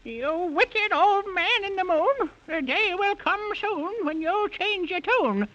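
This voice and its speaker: A woman's voice: very high-pitched, expressive, slow pace, fairly bright tone, full character voice. A woman acting a wicked, vengeful old witch in a 1930s children's radio play, speaking theatrically in rhyme. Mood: menacing. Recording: muffled lo-fi 1930s radio recording.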